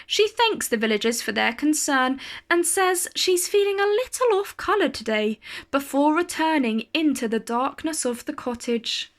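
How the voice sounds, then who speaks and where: A woman reading a children's picture book aloud as a storyteller, with deliberate phrasing and dramatic emphasis. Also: high voice, animated.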